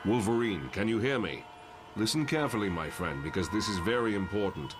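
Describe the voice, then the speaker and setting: Casting: actor as grave, caring mentor professor, low voice, noisy ambient background. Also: male voice, expressive, brisk, close to an everyday voice. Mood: grave, urgent. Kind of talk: motion comic voice acting.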